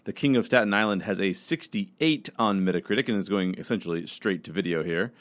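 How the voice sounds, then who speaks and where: A man's voice: lowish voice, fairly quick. A man presenting a scripted rundown of entertainment news for a podcast.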